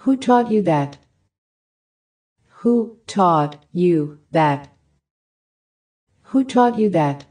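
A woman reading short English phrases for a language lesson, each one repeated with careful, even enunciation. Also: lowish voice, very slow, pauses often. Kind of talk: slow repeated phrase drills.

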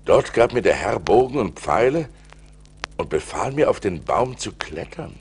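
Deep-voiced seafarer, slow and dramatic. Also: male voice, sing-song, darkish tone, slight background noise. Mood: measured, recounting. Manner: theatrical, deliberate, swelling with suspense. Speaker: narrator. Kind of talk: dramatic storytelling in an audio play. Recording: vintage vinyl audio play transfer.

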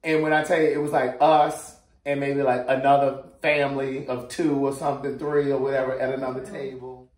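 A man's voice: medium pitch, little pitch movement, fairly quick, a little mellow, echoey room. An American man in his forties telling a story casually and dramatically, exuberant and often laughing as he talks. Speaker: vlogger telling stories. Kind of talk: animated casual storytelling among family. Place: open dining room at home.

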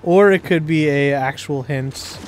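A man's voice: fairly high pitch, a little slow, slight background noise. A man in casual, slangy gameplay chat, joking as he goes with his co-op partner. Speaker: gaming streamer, co-op player.

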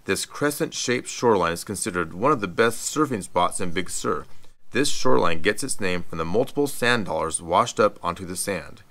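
A middle-aged man reading scripted travel narration in an even, informative guide manner, as a voice-over. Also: low-pitched, moderate pace. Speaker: travel vlog co-host. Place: voice-over, not recorded on location.

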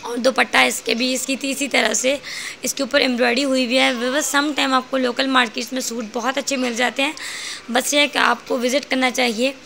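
A woman's voice: high voice, little pitch movement, fairly quick, creaky, sharp tone, slight background noise. A woman, chatting through a shopping vlog in a clothing shop and listing prices. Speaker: vlogger showing clothes at a shop. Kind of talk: casual shopping vlog narration.

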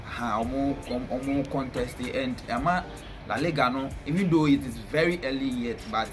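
A young Ghanaian man giving casual football punditry, speaking in a halting way and often repeating words. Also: medium pitch. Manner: halting, repetitive. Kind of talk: casual sports punditry, mixing English with a local language. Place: small bare indoor room, seated at a table. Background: music.

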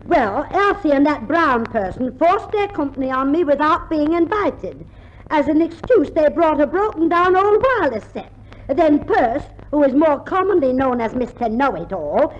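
A shrill-pitched, huffy radio-comedy sister. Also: female voice, big pitch swings, unhurried, lo-fi sound. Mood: indignant. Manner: huffy, contrary. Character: indignant, stubborn, scatterbrained feuding sister. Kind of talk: 1950s radio comedy acting. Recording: noisy 1950s radio recording.

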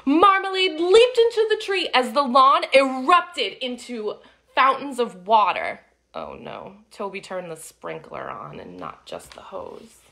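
A woman's voice: high voice, vocal fry. A woman in her thirties reading a picture book aloud to young children, with sing-song delivery and friendly asides. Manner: animated, slow and deliberate, sing-song child-directed delivery. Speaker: children's storytime reader. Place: living room at home, indoors. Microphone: phone or webcam at arm's length.

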